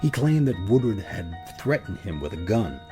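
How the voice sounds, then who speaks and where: A man narrating a scripted history documentary as its host in a measured, solemn, deliberate manner. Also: lowish voice, very animated. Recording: narration over a music bed. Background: music.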